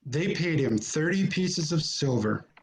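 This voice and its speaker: A man's voice: on the high side, expressive, a little slow, low-quality recording. A man narrating scripture from a script, recorded at home over a video call.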